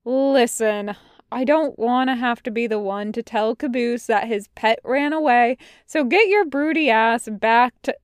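A woman's voice: a little high, unhurried, clear, resonant tone, no echo, clean studio sound, close to an everyday voice. A woman voicing a brash, exasperated male soldier with a slangy, sarcastic manner in a dramatic story reading. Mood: sarcastic prodding. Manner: prodding, slangy, swearing. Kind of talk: dramatic fan fiction reading.

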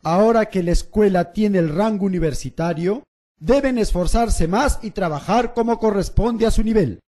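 A man's voice: high voice, normal speed, dark tone. A man playing a strict, demanding professor in a radio drama. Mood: stern.

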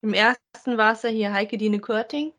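A woman's voice: on the high side, steady tone, moderate pace, a crowd in the background. A woman co-hosting a podcast in a casual, conversational review of audio dramas. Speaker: podcast co-host.

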